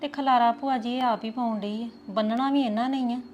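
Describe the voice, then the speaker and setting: Woman as young daughter-in-law, Punjabi accent, reproachful, slightly high voice. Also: steady tone, a little fast, darkish tone, muffled recording, close to an everyday voice. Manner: complaining, reproachful.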